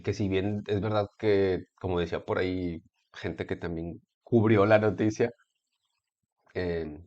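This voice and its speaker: A man with a Mexican Spanish accent giving a casual commentary monologue, rambling with many fillers, restarts and sarcastic asides. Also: deep voice. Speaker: video essayist. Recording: lo-fi home computer recording.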